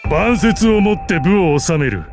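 An actor playing a proud, battle-hardened old martial arts master in a game, with gruff dignity, dry amusement and archaic old-man speech. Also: high voice, lively intonation, unhurried, over music. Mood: amused, resolute. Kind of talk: Japanese mobile game character voice lines.

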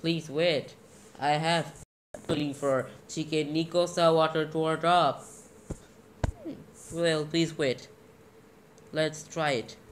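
A man presenting a casual screen-recording tutorial in halting, fragmented steps. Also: a little high. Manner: halting, fragmented step-by-step speech. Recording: noisy amateur tablet screen recording.

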